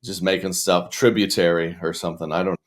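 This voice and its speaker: Youngish guy w a low voice, rambly jokey interview chat, stops and starts a lot. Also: little pitch movement, brisk. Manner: rambling, stop-start, joking. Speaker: comedian and children's author, interview guest.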